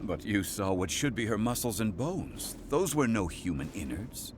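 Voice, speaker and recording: An actor playing an erudite, haughty and curious fantasy-game scholar, with pompous, formal, archaic wording. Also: male voice, fairly low pitch, big pitch swings, normal speed, noisy background. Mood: condescending, probing. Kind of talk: video game character acting.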